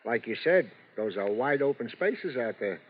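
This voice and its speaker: A man's voice: medium pitch, sing-song, moderate pace, close to an everyday voice. Actor as a tired, teasing man, hissy recording. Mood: wry, weary. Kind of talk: 1950s radio drama acting. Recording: muffled, hissy old radio recording.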